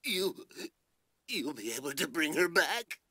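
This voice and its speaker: A man's voice: fairly high pitch, sing-song, unhurried, sharp tone, breathy edge, no echo, studio quality, heavily acted. A man voicing a whiny, panicky, childish lord in a video game, blubbering and pleading. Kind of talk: scripted video game voice acting.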